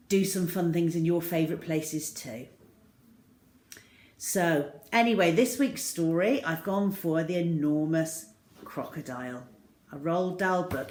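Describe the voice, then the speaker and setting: A woman reading to children like a primary teacher, expressive, with deliberate emphasis. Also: low voice, roomy sound. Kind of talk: children's storytelling, expressive narration.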